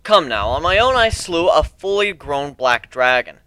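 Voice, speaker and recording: A man's voice: fairly high pitch, sing-song, moderate pace, pushed and bright, no echo, voice pushed up. A man playing a cocky griffin pirate captain and dragon slayer, speaking in a boastful, commanding, emphatic way. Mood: boastful, menacing.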